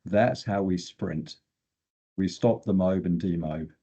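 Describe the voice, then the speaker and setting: A man's voice: sits low, takes it slowly, mellow, slightly rough, muffled recording. An older man speaking as a guest expert, explaining technical material in a plain-spoken way with short emphatic phrases. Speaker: guest expert in exploration geochemistry.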